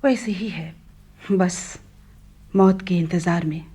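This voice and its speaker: An actress playing an anguished middle-aged widowed mother in a Hindi radio play, halting and polite in her sorrow. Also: on the low side, dark tone, slightly rough. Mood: sorrowful, resigned.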